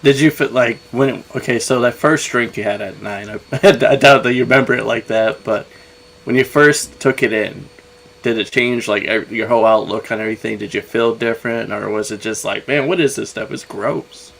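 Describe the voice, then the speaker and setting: A man's voice: medium pitch, moderate pace, gravelly, fairly bright tone, poor audio. A young adult man hosting a podcast, asking laid-back questions filled with fillers like "like.". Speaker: podcast host. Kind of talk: casual interview. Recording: faint background noise.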